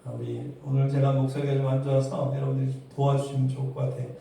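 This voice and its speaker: A man's voice: medium pitch, completely flat delivery, quick pace, creaky, warm tone, a little hiss or hum. A man preaching a sermon, putting rhetorical questions to his congregation. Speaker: pastor preaching. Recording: very echoey hall, distant mic.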